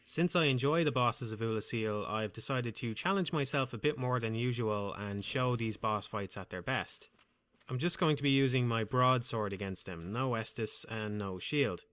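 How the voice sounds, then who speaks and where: Calm, soothing man narrating game commentary. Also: medium pitch. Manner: calm, measured, dryly wry. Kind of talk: scripted voice-over commentary.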